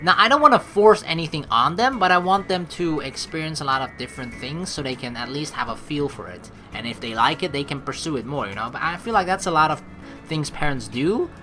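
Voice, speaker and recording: A woman's voice: low-pitched, lively intonation, brisk, sharp tone, faint music behind. A young adult woman giving casual let's play commentary in a rambling, conversational way. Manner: rambling, conversational, peppered with "you know". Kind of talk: casual game commentary mixed with reading dialogue aloud.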